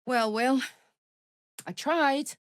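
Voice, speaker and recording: A woman's voice: sits high, very slow, no room sound. Brash, big-hearted warrior woman, dry close sound. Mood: wry, musing. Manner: informal, blunt, exclamatory, swings from playful to tender. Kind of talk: video game character voice lines.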